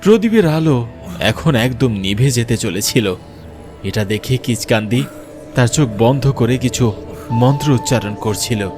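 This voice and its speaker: A man's voice: medium pitch, lively intonation, measured pace, music in the background, close to an everyday voice. A man playing a frightened young man, a betrayed lover telling his horror story in an earnest, dramatic first-person narration. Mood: tense narration.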